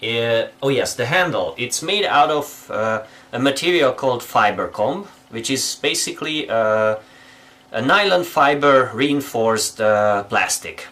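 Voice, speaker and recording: Young Hungarian man, lively careful English. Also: medium pitch. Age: young adult. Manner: careful, halting English with restarts. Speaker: guest reviewer. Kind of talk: product review. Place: indoors, small room with patterned wall.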